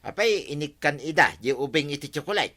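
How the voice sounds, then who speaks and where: A man's voice: fairly high pitch, lively intonation, fairly quick, pushed and bright. A man playing a lazy, grumbling, hard-drinking village husband with an Ilocano accent in a radio comedy drama, whiny and complaining. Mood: surprised.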